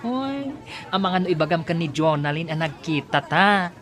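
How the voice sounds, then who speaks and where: A woman's voice: on the low side, expressive, moderate pace, fairly bright tone. A woman with an Ilocano accent playing a flustered, hesitant, exclamatory woman in an audio drama. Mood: startled.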